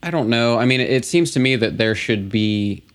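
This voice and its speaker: A man's voice: medium pitch, expressive, normal speed, smooth. A man co-hosting a casual podcast chat, speaking thoughtfully with hesitant fillers. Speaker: podcast co-host, DJ.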